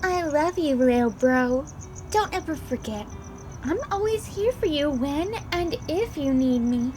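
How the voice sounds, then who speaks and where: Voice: sits high, animated, a little slow, background noise. A performer voicing a doting, protective teenage big sister, gushing and motherly, in a cartoon fan drama. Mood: affectionate. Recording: music under the voice.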